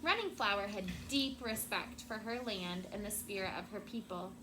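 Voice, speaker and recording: Woman, schoolteacher, storybook read-aloud, expressive deliberate phrasing, middle pitch, clearly noisy classroom recording. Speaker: elementary school teacher. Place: indoor classroom with children.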